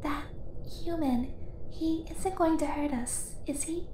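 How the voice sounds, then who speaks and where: A woman's voice: high-pitched, slow pace, reverberant, noisy background. A woman playing a small, timid, devoted young daughter of a god in an audio drama, with an earnest, childlike manner. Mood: anxious.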